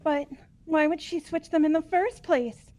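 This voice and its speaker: A woman's voice: sits high, unhurried, a little mellow. A woman voicing a timid young girl student in a fan-dubbed mystery game, hesitant and stammering. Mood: timid, unsure.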